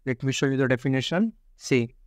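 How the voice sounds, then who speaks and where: A man's voice: medium pitch, brisk, no room sound. Male coding tutor, step-by-step walkthrough, dead dry close-mic sound. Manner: repetitive, explains step by step with frequent "see" and "only". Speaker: programming tutor. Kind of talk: screen-recorded coding lesson.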